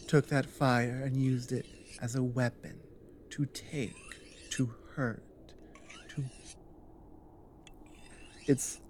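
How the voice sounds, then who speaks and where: Slow, low-voiced orc woman played by an actress. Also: warm tone, slight background noise, full character voice. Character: strong, gentle female orc warrior, protective and earnest. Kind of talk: intimate ASMR roleplay monologue.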